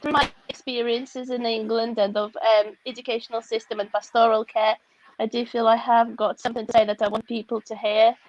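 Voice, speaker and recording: A woman's voice: fairly high pitch, little pitch movement, fairly quick, highs cut off, a little hiss or hum. A woman from Russia with a Russian accent, a guest author speaking candidly and reflectively, often laughing, over a video call.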